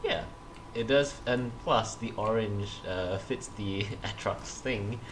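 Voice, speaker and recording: A man's voice: on the low side, slow, slight background noise. A man co-hosting a casual gaming livestream, groggy from just waking up, who laughs often and restarts his sentences. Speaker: streamer co-host.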